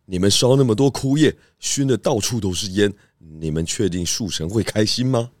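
A man playing a calm, polite, reasonable pig detective in a children's audio drama. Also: fairly low pitch, normal speed, no room sound, studio quality, natural voice. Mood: reasoning.

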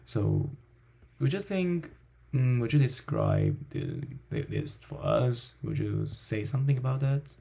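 A man from Taiwan who teaches language, hosting a casual conversation and speaking haltingly, often restarting and repeating words. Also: mid-range voice, huge pitch swings, vocal fry, dark tone, slightly rough.

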